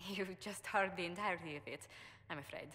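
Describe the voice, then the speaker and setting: A woman's voice: on the low side, moderate pace, bright, forceful tone, in a large hall. An actress playing a courteous, polished female ambassador in a fantasy video game, formal and precise in speech. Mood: gracious. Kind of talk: video game dramatic dialogue.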